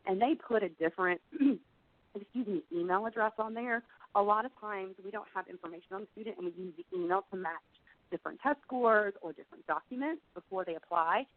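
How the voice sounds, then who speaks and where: A middle-aged woman, slightly froggy from being under the weather, giving a conversational, explanatory webinar presentation as an admissions director. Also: fairly low pitch. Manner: conversational, explanatory, frequent "you know". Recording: phone-like narrow band, dry.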